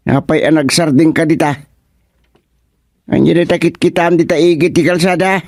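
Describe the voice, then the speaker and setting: A man playing a high-voiced, flustered village fellow. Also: fairly even intonation, normal speed, a little mellow, no room sound, studio quality, lightly acted. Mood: puzzled, concerned. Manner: stammering, exclaiming. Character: startled, bumbling village man talking to a frog. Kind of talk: comedy radio drama acting.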